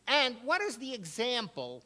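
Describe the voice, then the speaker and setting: An audience member, a man, asks his question haltingly with many restarts. His voice is high and bright, and it moves up and down a lot. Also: huge pitch swings. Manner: hesitant, halting.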